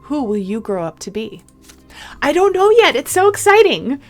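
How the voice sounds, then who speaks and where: A woman's voice: high voice, big pitch swings, moderate pace, soft background music. A woman doing a let's-play of a visual novel, reading the text aloud expressively and adding casual, chatty comments. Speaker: game streamer.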